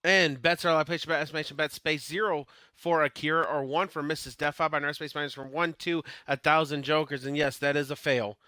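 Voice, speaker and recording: Bright-voiced man calling wrestling, voice wearing thin. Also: a little high, fairly even intonation, fast talker, few pauses, no echo. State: voice starting to give out. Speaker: e-fed wrestling streamer and commentator. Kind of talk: live play-by-play wrestling commentary. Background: wrestling game crowd.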